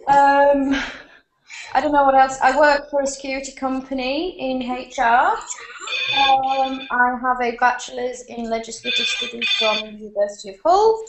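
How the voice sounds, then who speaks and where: A woman's voice: high-pitched, normal speed. A woman, a student introducing herself casually and tentatively on a video call. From Yorkshire, England. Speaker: course student. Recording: echoey video call, faint noise.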